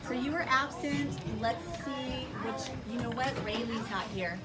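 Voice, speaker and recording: A woman teaching young children in a classroom, giving clear directions and checking understanding with short questions. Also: high voice, unhurried, loud music behind the voice. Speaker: elementary school teacher. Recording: distant mic in noisy classroom.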